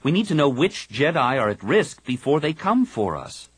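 A man's voice: mid-range voice, very animated, moderate pace, slightly rough, no echo, pitched above the performer's own voice. Level-headed young Jedi brother, read by a man, plain delivery, dry close mic. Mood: thoughtful. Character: thoughtful teenage male Jedi, level-headed.

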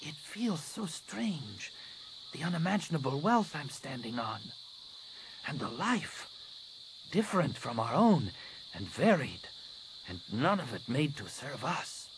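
Man voicing a higher-pitched, deferential young alien woman. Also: very animated, takes it slowly. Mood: awed. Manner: deferential, cautious. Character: young female alien apprentice, deferential, careful, secretly eager. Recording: clearly noisy recording.

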